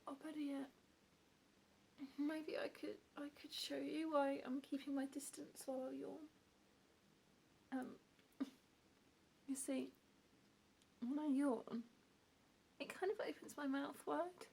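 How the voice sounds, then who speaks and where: A young woman whispering softly and shyly in an ASMR roleplay, speaking close to the mic. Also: sits high, fairly even intonation, measured pace, creaky, long pauses, slight background noise. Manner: soft whispering, apologetic and shy. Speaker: ASMR creator.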